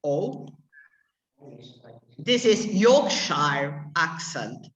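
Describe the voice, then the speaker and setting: A woman's voice: low voice, very animated, drawn-out words, reverberant. A woman teaching English to adult learners, stopping often to search for words mid-sentence. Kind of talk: classroom explanation to adult learners.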